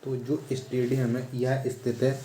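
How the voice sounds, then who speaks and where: A man's voice: mid-range voice, level tone, normal speed, mellow, a little hiss or hum, low-quality recording. A man teaching exam quiz material, reading out questions, repeating them rhetorically and giving the answers in an instructional way.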